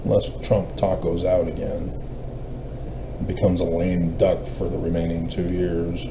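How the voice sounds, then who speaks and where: A man's voice: low voice, little pitch movement, measured pace. A man giving a rambling solo monologue in the manner of a self-styled prophet, meandering and often trailing off mid-thought. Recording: roomy echo, clear background noise.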